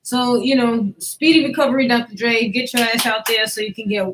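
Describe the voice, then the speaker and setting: A woman's voice: fairly high pitch, fairly even intonation, a little fast, fairly bright tone, low-quality recording. A woman hosting a casual podcast chat about celebrity news in a slangy, emphatic way with some swearing. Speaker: podcast host.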